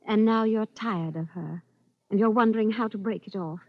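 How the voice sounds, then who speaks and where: An actress playing a knowing, manipulative lady with a husky voice and arch, coaxing delivery in a 1940s radio suspense drama. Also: mid-range voice, lightly acted. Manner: arch, coaxing, deliberate phrasing. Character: knowing, coolly manipulative, mock-sympathetic lady advisor. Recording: dull vintage radio recording.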